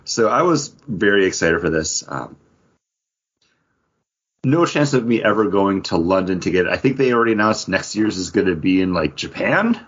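A man's voice: sits low, lively intonation, normal speed, fairly bright tone, slightly rough. A man from the United States chatting casually and sharing personal stories as a podcast co-host. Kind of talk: casual chat, personal anecdotes. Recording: dull, lo-fi audio.

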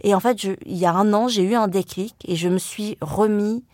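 A woman's voice: mid-range voice, normal speed, darkish tone, no room sound, clean studio sound. A woman from France whose first language is Vietnamese, speaking as a journalist in a reflective interview, thoughtful and hesitant with frequent fillers and restarts. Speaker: journalist and writer.

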